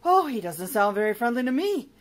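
A woman voicing a timid, lonely, gentle monster in a storybook, plaintive and hesitant. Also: fairly high pitch, very animated, moderate pace. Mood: worried.

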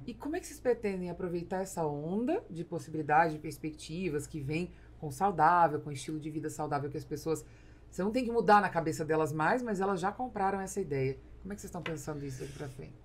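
A Brazilian woman in her forties hosts a business podcast. She speaks quickly in a slightly low voice, in a conversational interviewing style. The sound is that of a close studio microphone. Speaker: podcast host. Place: small foam-treated podcast studio. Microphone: studio mic on boom arm, close.

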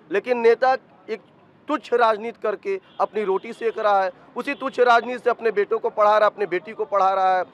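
A man's voice: extremely high pitch, fairly bright tone. A man in his thirties with an eastern Uttar Pradesh accent, speaking emphatically as a street interviewee. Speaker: local interviewee. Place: outdoors, seated by a street wall. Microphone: handheld reporter's microphone.

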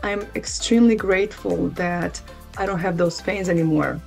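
A woman in her thirties, hoarse from recent laryngitis, explaining engineering exam material in a steady, methodical lesson. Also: on the low side, moderate pace, faint music behind. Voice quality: gravelly voice. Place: small indoor room with a plant.

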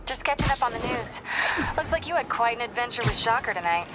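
A woman's voice: fairly high pitch, animated, quick pace, background noise, natural voice. A woman playing a confident, persistent young American newspaper reporter in a video game, direct and drily teasing. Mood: curious, confident. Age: young adult. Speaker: investigative reporter character. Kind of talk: cinematic video game acting. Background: background music.

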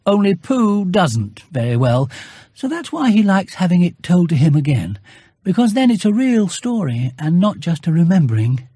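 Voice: mid-range voice, sing-song, normal speed, warm tone, breathy edge, dry recording, studio quality, voice pushed up. A narrator voicing a curious, earnest little boy in a children's storybook, in an innocent, questioning manner. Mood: innocent, inquiring. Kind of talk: children's storybook character acting.

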